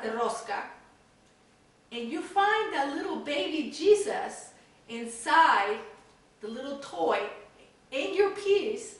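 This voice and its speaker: Echoey, high-voiced woman hosting. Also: fairly bright tone. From Mexico. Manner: upbeat, chatty, gesturing as she talks. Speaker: cooking show host, chef. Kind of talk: casual chatty presenting to camera. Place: home kitchen, indoors. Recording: very echoey room, distant camera mic.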